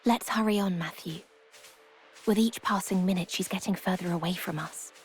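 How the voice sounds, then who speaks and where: An actress playing a composed, wise and formal travelling companion in a video game cutscene, speaking in measured, articulate phrases. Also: female voice, on the low side, husky, faint music behind. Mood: calm urgency.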